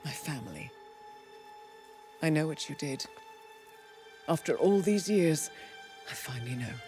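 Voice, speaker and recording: An actress playing a composed, scheming female handler in a game cutscene, with measured, controlled delivery. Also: deep voice, animated, darkish tone. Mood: sorrowful. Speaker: video game character. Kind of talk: cinematic game dialogue. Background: game soundtrack music.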